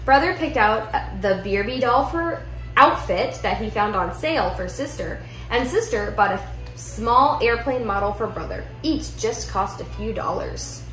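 A young woman reads a children's picture book aloud with expressive storytelling in a living room. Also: fairly high pitch, a little slow. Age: young adult. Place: indoor living room at home. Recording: roomy echo, music behind.

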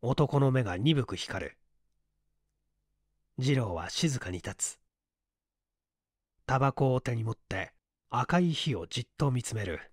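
Male audiobook reader for mystery fiction; mid-pitched, scripted narration, very little room sound. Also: lively intonation, measured pace, long pauses, husky, no echo, clean studio sound.

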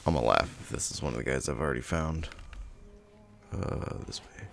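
A man's voice: a bass voice, brisk, creaky, fairly bright tone, soft background music. A man giving casual, laid-back commentary while playing a video game, thinking aloud as he goes.

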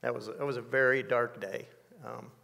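A man in his sixties telling a personal story in an interview, measured and reflective, with many fillers, on a dark studio set. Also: medium pitch, level tone, gravelly, long reverb tail. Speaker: interview guest.

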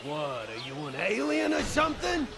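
An actor playing a dim, blustering video game brawler who rambles excitedly. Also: male voice, sits high, normal speed, heavily acted. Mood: baffled, rambling. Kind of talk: cartoonish video game acting.

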